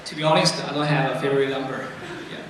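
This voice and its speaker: A young man running in a student election, answering questions haltingly with many fillers. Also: medium pitch, steady tone. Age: young adult. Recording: echoey hall, distant mic, noisy.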